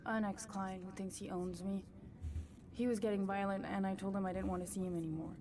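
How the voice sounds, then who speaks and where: A woman's voice: medium pitch, steady tone, brisk, noisy background, close to an everyday voice. An actress playing a guarded, troubled woman in a noir video game drama. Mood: shaken, guarded.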